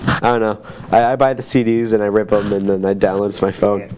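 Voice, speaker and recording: A man co-hosting in casual chat, opinionated and teasing, often halting as he works out a thought. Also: lowish voice, steady tone, darkish tone, a little hiss or hum. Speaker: podcast co-host. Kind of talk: casual chat about games and movies.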